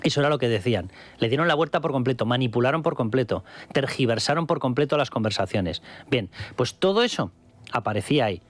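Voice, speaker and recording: Fast-talking combative Spanish radio pundit. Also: male voice, medium pitch, animated, no echo. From Spain. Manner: combative, sarcastic, emphatic repetition. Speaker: radio news commentator. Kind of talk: opinionated political commentary.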